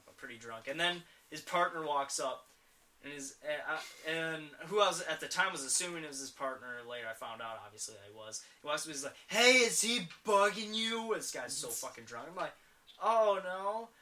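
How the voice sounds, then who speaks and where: A man's voice: fairly high pitch, expressive, a little fast, bright, forceful tone, far from the mic. A young man in his late teens, co-hosting a podcast and telling a rambling story while quoting people. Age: young adult. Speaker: podcast co-host. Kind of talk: casual chat, storytelling.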